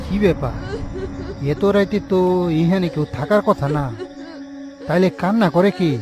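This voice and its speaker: An uneasy cartoon morgue worker acted by a man with a slightly high voice. He mutters questions to himself, repeats words and puts on rustic bravado. Light music plays behind him. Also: normal speed, natural voice. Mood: uneasy muttering.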